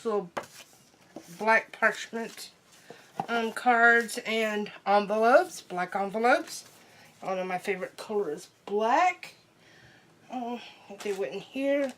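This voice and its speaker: A young woman chatting casually through an unboxing while sick, her voice nearly gone, excitable and full of ums. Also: on the high side, takes it slowly, pauses often, a little hiss or hum. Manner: excitable, many ums and trailing asides. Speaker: unboxing vlogger.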